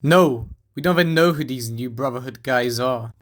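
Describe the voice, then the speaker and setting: A man's voice: medium pitch, normal speed, heavy background noise, natural voice. A man playing an earnest, persistent wandering survivor in a game cutscene, speaking plainly. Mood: pleading, determined. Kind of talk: scripted game cutscene dialogue.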